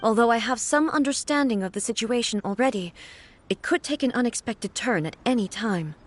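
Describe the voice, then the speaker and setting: A woman voicing a composed, dignified female warrior ruler in a video game, speaking in a measured and formal way. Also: a little high, lightly acted.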